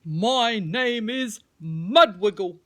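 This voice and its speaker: A woman's voice: medium pitch, lively intonation, extremely slow. A woman voicing a boastful, blunt little male worm in a children's storybook. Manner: blunt, boastful, loudly emphatic.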